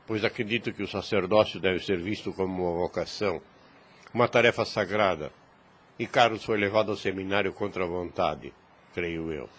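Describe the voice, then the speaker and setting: A man playing a grave, kindly older priest and uncle in an audio drama, speaking in a measured, formal, fatherly way. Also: low voice, fairly bright tone. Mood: grave, reflective. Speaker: voice actor. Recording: clear background noise.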